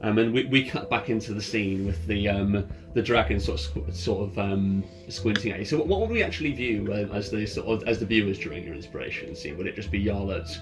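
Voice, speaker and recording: A man's voice: lowish voice, a little fast, hardly any pauses. A man acting as game master, casually explaining rules and scenes to players in an informal way. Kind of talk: casual tabletop roleplay game narration and rulings. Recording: clear background music under the voice. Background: ambient music.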